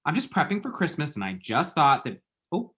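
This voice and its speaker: A man's voice: a little high, big pitch swings, fairly quick, bright, forceful tone. A man playing a flustered, lovestruck and bitchy young man, sassy and theatrical, in a screenplay table read. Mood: defensive, sassy.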